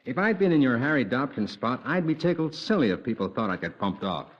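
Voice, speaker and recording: An eager museum visitor who keeps prodding with questions, his voice swinging in lively rises and falls. It comes through a dull, lo-fi vintage radio recording. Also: mid-range voice, a little fast. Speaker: actor in radio drama. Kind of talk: 1950s radio drama acting.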